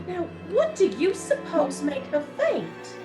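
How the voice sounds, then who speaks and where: A woman's voice: extremely high pitch, wildly swooping pitch, over music, full character voice. A woman playing a fussy, commanding wife and mother, easily alarmed, in a theatrical radio play style. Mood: concerned. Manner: bossy, brisk orders, theatrical.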